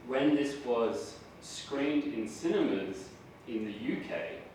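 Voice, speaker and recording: A man's voice: medium pitch, lively intonation, slow pace, darkish tone. A man with an Australian accent giving a university lecture, chatty and self-deprecating, often asking the audience questions. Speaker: guest lecturer. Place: large lecture hall. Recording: echoey hall, voice from far off, noisy.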